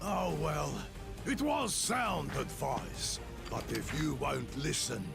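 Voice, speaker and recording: An actor playing a scheming villain who craves a throne, menacing and taunting in a video game. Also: male voice, a little high, big pitch swings, takes it slowly, vocal fry, music in the background, full character voice. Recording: game cutscene over very loud score.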